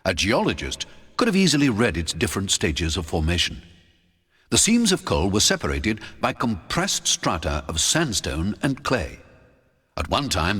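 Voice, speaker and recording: Male narrator, rough husky tone, hugely varied intonation, dramatic first-person storytelling, scripted adventure reading. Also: fairly low pitch, sharp tone. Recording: long hall-like reverb.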